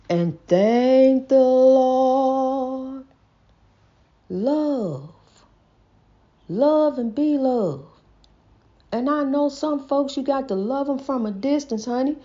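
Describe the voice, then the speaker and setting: A woman's voice: sits high, mellow. A woman with a stutter giving a casual, folksy inspirational talk, repeating herself and calling the listener honey, on a dull lo-fi recording. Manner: says she stutters. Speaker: host. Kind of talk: casual inspirational preaching monologue.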